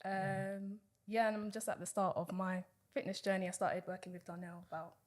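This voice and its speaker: A woman's voice: medium pitch, level tone, fairly quick, a little mellow. Candid, giggly woman in her twenties. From Guyana. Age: 20s. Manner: candid, giggly, a bit shy. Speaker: guest, fitness client. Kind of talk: casual interview chat.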